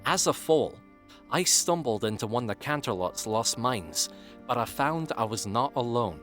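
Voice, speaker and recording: A man voicing a cheerful, excitable young pegasus pony who loves nature, with an animated and cheeky manner, in an audio drama. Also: medium pitch, moderate pace, soft background music, natural voice. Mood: upbeat. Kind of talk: acted dialogue in a fan-made audio drama.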